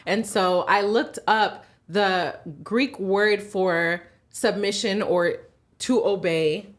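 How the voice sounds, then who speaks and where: A woman around thirty co-hosts a casual podcast. She talks in an animated way and breaks into laughter easily. Her voice sits at an ordinary middle pitch. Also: takes it slowly. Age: 30s. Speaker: podcast co-host. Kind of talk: casual chat.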